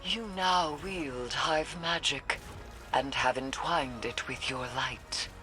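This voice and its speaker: An actress playing a grave, stern witch in a video game, solemn and portentous, with formal measured diction. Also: very deep voice, music in the background. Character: grave, stern witch woman steeped in dark magic. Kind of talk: scripted video game voice acting.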